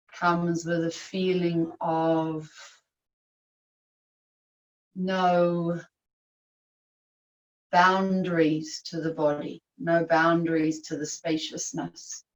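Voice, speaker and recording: A woman teaching yoga nidra, guiding a meditation in a soothing, unhurried manner with long pauses. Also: lowish voice, flat delivery. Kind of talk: guided meditation instruction. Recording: echoey room, distant microphone.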